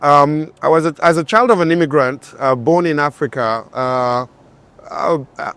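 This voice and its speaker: Higher-voiced man giving interview answers. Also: moderate pace, a bit bright, no room sound. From Cameroon and France. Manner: punctuates points with tag questions like "right?". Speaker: interview guest, finance entrepreneur.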